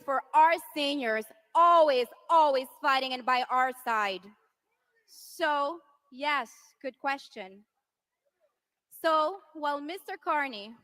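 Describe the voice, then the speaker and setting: A woman in her thirties from Venezuela, giving an impassioned political rally speech that rises to rousing shouts. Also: high-pitched. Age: 30s. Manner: impassioned, rising to rousing shouts on key lines. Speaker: politician's wife speaking at a rally.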